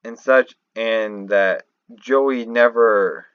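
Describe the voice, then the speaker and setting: A man giving unscripted commentary on game lore in a halting, rambling way, often restarting mid-thought. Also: fairly low pitch, smooth, no room sound, lo-fi sound. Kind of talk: unscripted theorizing commentary over a screen recording.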